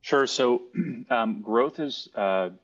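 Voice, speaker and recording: A man from Los Angeles co-hosting a webinar, explaining in a relaxed, halting way with repeated words. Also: medium pitch, dry recording. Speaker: webinar co-host. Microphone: video call. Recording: dull, dry online call audio.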